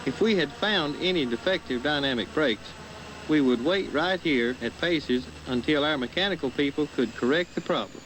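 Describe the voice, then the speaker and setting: Railroad foreman explaining procedure, faint rumble. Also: animated, normal speed, a bit bright, poor audio. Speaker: railroad road foreman of engines. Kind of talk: scripted instructional narration. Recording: faint vehicle noise behind.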